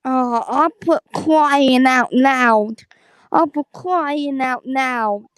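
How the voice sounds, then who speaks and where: A young woman from the Caribbean calling in, talking in a halting, repetitive way. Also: high voice, clear, resonant tone, no echo, studio quality. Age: 20s. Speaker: caller. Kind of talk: casual chat.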